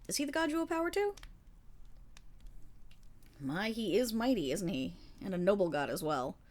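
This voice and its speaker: A woman playing a kindly, weary and remorseful paladin in a fantasy roleplay audio, speaking formally in measured, gentle storytelling with dramatic pauses. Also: mid-range voice, very animated, natural voice. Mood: friendly, curious. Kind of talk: fantasy roleplay audio monologue addressed to the listener.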